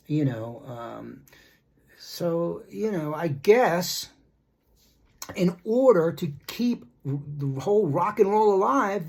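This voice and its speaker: Middle-aged male music commentator, husky voice, off-the-cuff, opinionated and meandering. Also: on the high side. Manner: rambling, repetitive, halting asides; blunt, critical. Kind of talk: casual opinion monologue.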